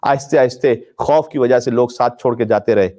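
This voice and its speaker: A man with a mid-pitched voice delivers an impassioned religious sermon. His delivery is emphatic and declamatory, shaped like a mournful storyteller's. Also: a little fast, mellow. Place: indoor room with draped religious banners, live stream.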